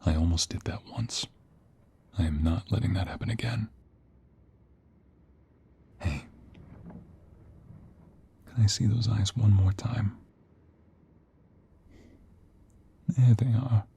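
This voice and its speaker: ASMR roleplay: male actor as tender, protective mobster on the run, intimate and reassuring, dark, gravelly low murmur. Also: slow pace, pauses often, exaggerated voice. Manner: intimate, hushed, reassuring, addressing the listener. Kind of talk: ASMR roleplay audio drama.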